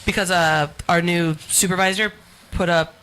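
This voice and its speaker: A woman's voice: lowish voice, fairly even intonation, normal speed, fairly bright tone. A woman in her thirties chatting casually as a radio co-host, blunt and wry in the way she talks. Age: 30s. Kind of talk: casual chat.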